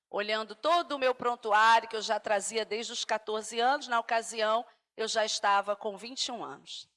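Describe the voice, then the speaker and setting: A woman's voice: a little high, sharp tone. Earnest woman giving a church testimony. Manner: earnest, personal storytelling with many "né?" asides.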